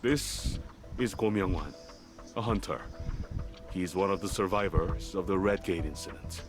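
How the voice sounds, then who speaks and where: A man's voice: deep voice, measured pace. An actor playing a stern, commanding older father, a wealthy guild chairman, in a game. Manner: curt, commanding. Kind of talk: game voice acting. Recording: game audio with music behind.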